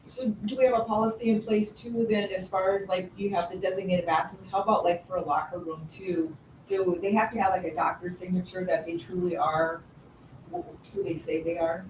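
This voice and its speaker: A woman's voice: on the low side, fairly even intonation, fairly quick. A woman school board member raising questions and proposals informally at a public meeting. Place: indoor meeting room. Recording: echoey room, distant mic, faint noise.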